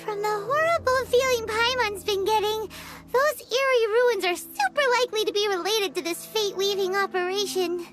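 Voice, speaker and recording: A woman voicing a tiny, excitable, childlike female fairy companion in a video game, squeaky and full of curious exclamations. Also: normal speed, few pauses. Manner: exclamatory, inquisitive, refers to self in third person. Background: game music.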